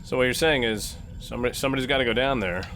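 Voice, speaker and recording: A man's voice: medium pitch, very fast, a bit bright. A man playing in a tabletop roleplaying game, talking casually and bantering with the group. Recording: faint background noise.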